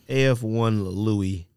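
A man's voice: lowish voice, extremely slow, darkish tone, smooth, no echo, studio quality. A man hosting a podcast in casual, joking banter, teasing and slangy in how he talks.

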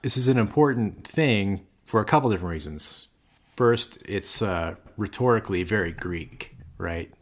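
A man's voice: on the low side, lively intonation, moderate pace. A man co-hosting a casual faith podcast, explaining scripture at length with joking asides. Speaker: podcast co-host.

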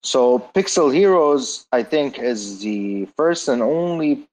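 A man's voice: medium pitch, expressive, a little mellow, poor audio. Upbeat man hosting a live chat. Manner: upbeat, quick encouraging replies. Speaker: host.

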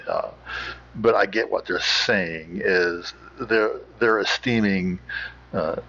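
An American man giving informal explanatory commentary as a host, halting as he searches for words. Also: low-pitched, vocal fry, sharp tone, breathy edge. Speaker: host of an old-time radio show. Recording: slightly dull, lo-fi.

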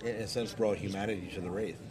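A man's voice: lowish voice, steady tone, fast. A man hosting a podcast interview as a fan, chatting casually in rambling, hesitant phrases. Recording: crowd chatter around the voice.

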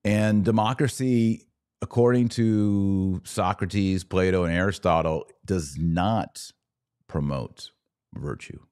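American guy podcast host, really low mellow voice, opinionated live commentary, talks in short emphatic bits. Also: slow, clear, resonant tone. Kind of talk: live political and religious commentary answering viewer comments.

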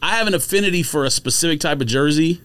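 A man's voice: fairly high pitch, fast talker, a bit bright, clear, resonant tone, dry recording. A man co-hosting a podcast, arguing about sports in a casual, emphatic and insistent way. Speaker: podcast co-host.

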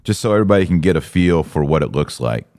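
A man's voice: extremely low pitch, expressive, fast, darkish tone. A man hosting a podcast, giving religious commentary in a casual conversational style with an emphatic, indignant manner. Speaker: podcast host.

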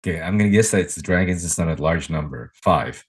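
A man's voice: low-pitched, fast, breathy edge. A man co-hosting a podcast in casual chat, mostly giving short replies and asking questions. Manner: brief listening replies and questions. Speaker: podcast co-host.

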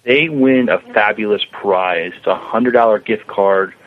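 A man co-hosting a podcast, chatting casually with a hesitant, halting delivery. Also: lowish voice, phone-quality sound. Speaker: podcast co-host.

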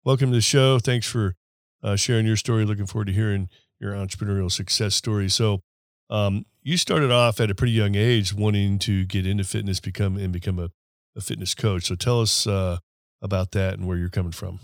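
A middle-aged podcast host rambles through his questions, chuckling and trailing off. His voice sits low, and the sound is dry and close. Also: fairly even intonation, fairly quick, dry recording. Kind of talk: casual interview.

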